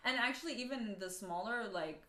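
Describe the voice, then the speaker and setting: Thirties woman from Chicago, casual video-call guest, relaxed and chatty, roomy sound. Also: on the high side, moderate pace. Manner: relaxed, laughs often, trails off with fillers. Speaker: violinist guest. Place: home room, shared with a colleague. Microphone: laptop video call. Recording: roomy video call with faint background hiss.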